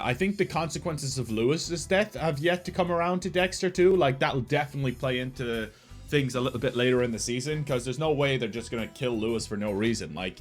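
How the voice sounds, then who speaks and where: A man hosting a reaction video with casual, chatty, informal commentary in a slightly nasal voice. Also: mid-range voice. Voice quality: slightly nasal early-morning voice.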